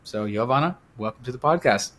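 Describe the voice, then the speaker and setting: A man's voice: medium pitch, lively intonation, fairly quick, fairly bright tone. A man from the United States, hosting a podcast, introducing his guest in an upbeat way and asking questions. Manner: upbeat, repetitive listing. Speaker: podcast host.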